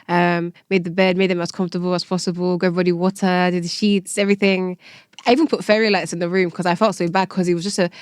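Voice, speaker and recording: A woman's voice: fairly low pitch, little pitch movement, fast, clean tone, no echo, studio quality. A woman from Nigeria with a Nigerian accent, telling personal stories candidly and conversationally as a podcast guest. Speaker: podcast guest, event organiser.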